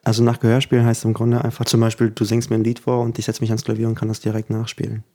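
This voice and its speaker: A young man in his twenties, from Ukraine, speaks as an interview guest in a fairly low voice. His delivery is earnest and reflective, with little rise and fall. He laughs easily between his thoughts. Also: monotone, very fast, mellow. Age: 20s. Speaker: guest musician. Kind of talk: casual interview talk.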